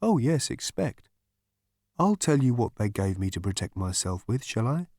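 A man's voice: mid-range voice, big pitch swings, normal speed, a little mellow, dry recording, clean studio sound. A man voicing a gloomy, put-upon menial robot with a dismal, bitter way of talking, in an audiobook. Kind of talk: audiobook character voice.

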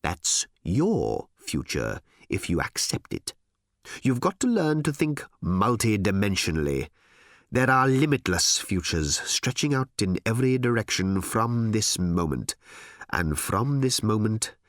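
A man voicing a smooth, cold, pompous editor-in-chief in an audiobook, speaking in a deliberate, condescending manner. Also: fairly low pitch, sing-song, no echo, clean studio sound. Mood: grandiose, insistent.

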